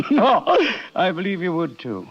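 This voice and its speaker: Florid, gravelly crime boss. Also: male voice, high-pitched, big pitch swings, measured pace, slight background noise, exaggerated voice. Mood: menacing. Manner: florid, courtly, chuckling politeness. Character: genteel, wordy older male crime boss, jovially menacing. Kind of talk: 1940s radio drama acting. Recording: muffled 1940s radio broadcast.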